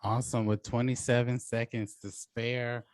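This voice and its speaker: A man with an average-pitched voice moderates a casual debate in a dry, close recording. He talks haltingly, repeating words as he weighs his judgments, and often chuckles. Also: level tone, dry recording. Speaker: debate host and judge.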